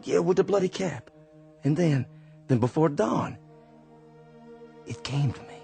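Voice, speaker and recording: An actor playing a weary, quiet Confederate sergeant in a radio drama, speaking slowly and earnestly and often repeating words as he searches for them. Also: on the high side, expressive. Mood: musing. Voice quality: gravelly. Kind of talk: old-time radio drama acting. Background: faint underscore music.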